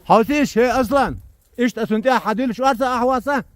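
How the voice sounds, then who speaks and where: Guy from chechnya bantering with his buddies on a mountain hike, pretty high voice, laid back. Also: very fast, dry recording. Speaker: hiker. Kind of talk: casual chat among friends on a hike. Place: outdoors in a mountain gorge.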